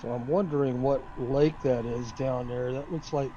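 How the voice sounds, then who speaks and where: A man's voice: medium pitch, normal speed, dull audio. A man who is a native English speaker, thinking aloud in casual, rambling commentary as he streams a flight simulator. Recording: loud steady engine drone. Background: flight simulator aircraft engine noise.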